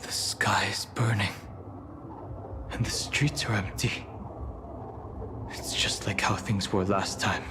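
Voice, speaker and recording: A man's voice: lowish voice, steady tone, slow, long pauses, noisy background. A man voicing a grave, determined young adventurer in dramatic video game acting. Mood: grave, resolved.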